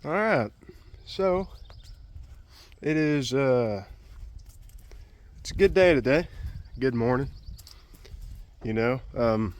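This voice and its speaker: A man's voice: mid-range voice, expressive, slow, pauses often. A man chatting casually and unscripted to the camera as a DIY vlogger, in a rambling, folksy, colloquial way. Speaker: vlogger, DIY truck project host.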